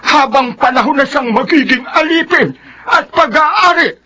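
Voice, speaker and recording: An actor playing an urgent, solemn old village healer, speaking gravely and emphatically in radio melodrama. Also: male voice, extremely high pitch, lively intonation, normal speed, pushed and bright, exaggerated voice. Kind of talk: melodramatic radio drama acting.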